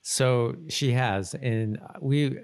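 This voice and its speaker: A man hosting a podcast in casual chat, talking in a halting, rambling way and often correcting himself. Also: medium pitch, a little mellow, clear, resonant tone. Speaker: podcast host.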